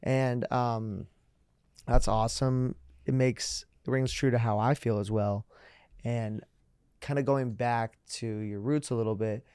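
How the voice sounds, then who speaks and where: A man's voice: mid-range voice, steady tone, a little slow, darkish tone, clean tone, no room sound, studio quality. A man hosting a casual music interview, asking rambling, friendly questions. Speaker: interviewer host.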